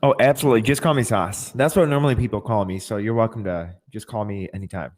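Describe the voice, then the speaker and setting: A man's voice: lowish voice, fast. A man in his twenties recounting his life story as an interview guest, often repeating and rephrasing himself as he explains. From born in Russia, adopted as a child. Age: 20s. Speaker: podcast guest.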